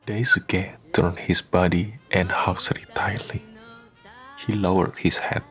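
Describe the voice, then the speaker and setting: A man reading scripted narration for a radio drama, with soft guitar music behind him. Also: deep voice, little pitch movement, slow pace.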